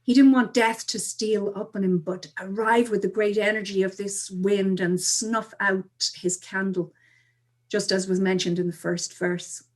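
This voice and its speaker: A woman with a medium-pitched voice talks as an invited expert. Her delivery is conversational and explanatory, in an informal register. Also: fairly even intonation, measured pace. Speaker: guest expert on Irish tradition and poetry.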